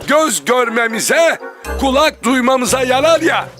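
Kindly old grandpa, high-pitched, patient explainer, children's cartoon, music underneath. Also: male voice, animated, a little slow. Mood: patient explaining. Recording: music behind the voice.

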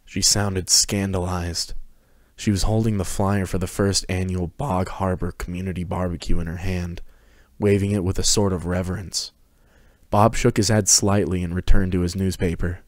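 Low, even-toned male narrator. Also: normal speed, dry recording. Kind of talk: scripted story narration, dramatic reading.

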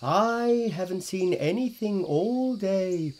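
A man voicing a weary, polite little turtle in a children's storybook, plodding and deliberate. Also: high voice, expressive, darkish tone, pitched above the performer's own voice. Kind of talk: children's storybook read-aloud.

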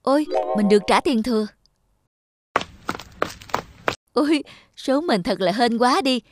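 A woman's voice: on the high side, expressive, moderate pace, background noise, natural voice. A woman voicing a young, mischievous and easily flustered schoolboy in a children's cartoon. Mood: gleeful.

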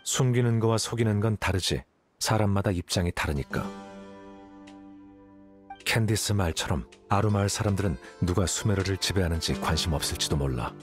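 A man playing a calm, aloof young scholar in a Korean game, explaining things in a composed, matter-of-fact way. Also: low-pitched, close to an everyday voice. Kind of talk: Korean game dialogue voice acting.

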